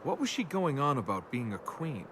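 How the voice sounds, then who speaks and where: A man's voice: medium pitch, very animated, normal speed, noisy background, lightly acted. An actor playing a flippant young male adventurer in a video game, casual and full of sardonic quips.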